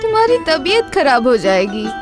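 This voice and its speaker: A man's voice: very high-pitched, wildly swooping pitch, moderate pace, music in the background, voice pushed up, heavily acted. A man voicing a worried, doting elderly mother in a Hindi cartoon story. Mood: fussing, concerned.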